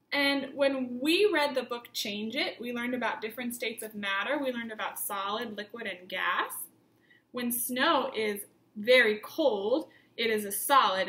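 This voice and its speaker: A woman teacher reading a picture book aloud to young children, with expressive emphasis and simple questions put to the listeners. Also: fairly high pitch, reverberant. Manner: simple instructive phrasing, questions addressed to children.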